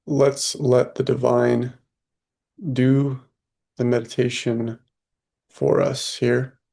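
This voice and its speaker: A man leading a guided meditation as a teacher, unhurried and leaving long pauses. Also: mid-range voice, level tone, vocal fry, dark tone.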